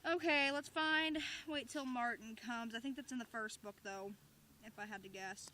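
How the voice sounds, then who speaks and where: High, bright-voiced woman reading stories. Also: normal speed. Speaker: storyteller reading aloud. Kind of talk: informal storybook read-aloud with chatty asides.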